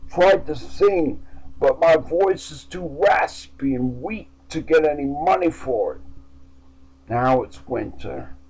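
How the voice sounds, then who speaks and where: A man's voice: mid-range voice, a little mellow, poor audio. A man reading his own short story aloud in the first person, in a somber, downbeat way. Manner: slow, somber, downbeat delivery.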